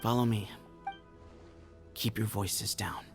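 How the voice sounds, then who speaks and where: A guarded young desert heir from an anime game. He is cool and reserved, with a low, creaky voice in long reverb. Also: fairly even intonation, takes it slowly, faint music behind.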